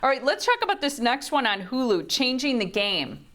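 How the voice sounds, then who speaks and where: Higher-pitched woman co-hosting casual chat. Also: sing-song, moderate pace, a bit bright. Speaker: co-host asking the critic questions.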